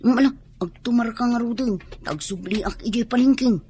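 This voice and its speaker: An actress playing a dutiful, hard-working young person, earnest and reassuring, in a radio drama. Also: female voice, fairly high pitch, moderate pace, dark tone, slight background noise, lightly acted. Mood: caring, earnest. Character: dutiful, hard-working young adult child of ailing mother.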